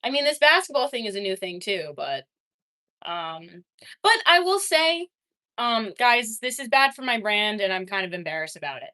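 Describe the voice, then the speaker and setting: A young adult woman streaming, casually chatting with her viewers and reading their messages, laughing often and swinging between excited and quiet. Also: medium pitch, moderate pace. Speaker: livestreamer reading chat. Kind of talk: casual livestream chat.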